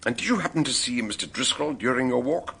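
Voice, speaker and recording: An actor playing a shrewd British doctor turned detective in a radio drama, ponderous, hesitant and probing. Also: male voice, medium pitch, expressive, fast talker, vocal fry, bright, forceful tone, a little hiss or hum.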